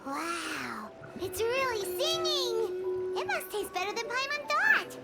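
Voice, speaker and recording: A woman voicing a tiny, excitable childlike fairy companion in a video game, with a squeaky, cutesy, exclamatory delivery. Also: takes it slowly, over music, heavily acted. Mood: amazed. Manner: cutesy, exclamatory, speaks of self in third person.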